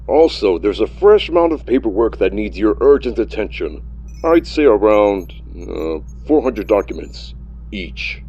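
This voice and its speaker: A man voicing a gruff male buffalo police chief giving orders over a radio speaker. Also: very animated, unhurried, warm tone, highs cut off, soft background music. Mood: commanding. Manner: booming, commanding. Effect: heard over a radio speaker.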